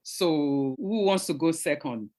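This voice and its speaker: Nigerian woman moderating a panel, measured and earnest, repeats herself a bit. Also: low-pitched, lively intonation, dark tone. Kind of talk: prepared opening remarks and moderating.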